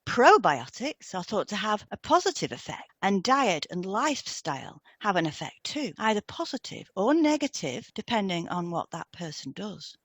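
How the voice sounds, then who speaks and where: A woman presents scripted teaching material alongside a co-host. She reads in a deliberate, measured way at an average pitch, and the sound is dry with no room echo. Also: very animated.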